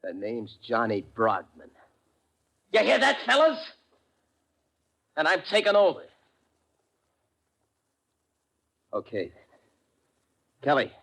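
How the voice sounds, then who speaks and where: An actor playing a brash, cocky young gang boss in an old-time radio crime drama, with tough, slangy, bossy talk. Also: male voice, mid-range voice, wildly swooping pitch, measured pace, gravelly, long pauses, a bit bright, exaggerated voice. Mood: commanding. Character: brash, cocky young gangster boss posing as a dead leader.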